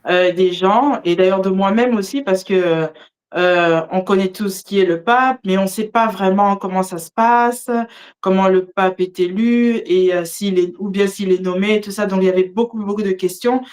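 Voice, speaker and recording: A woman's voice: medium pitch, moderate pace, a little mellow. Woman hosting, with hesitant delivery. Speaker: host of a religious discussion show. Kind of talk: hosting a live online talk, partly reading out notes.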